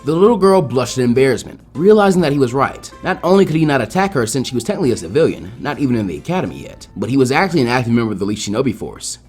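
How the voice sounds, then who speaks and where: Fast, animated male story narrator. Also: medium pitch, slightly rough. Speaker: fan fiction narrator. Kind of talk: expressive scripted story narration. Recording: background music behind the voice.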